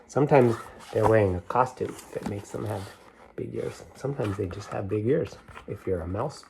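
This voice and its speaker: A man reading a picture book aloud to children, stopping to talk about the pictures and ask his young listeners questions in a playful, unhurried way. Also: on the low side, vocal fry, noisy background. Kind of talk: read-aloud storytime with asides about the pictures.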